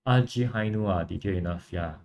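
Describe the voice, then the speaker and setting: A young man from Singapore with a Singaporean accent, casually chatting on a livestream and answering viewers in a relaxed, rambling way. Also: deep voice, fast talker, gravelly, muffled recording, a little hiss or hum. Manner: relaxed, rambling, frequent fillers and restarts. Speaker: livestream host and model kit builder.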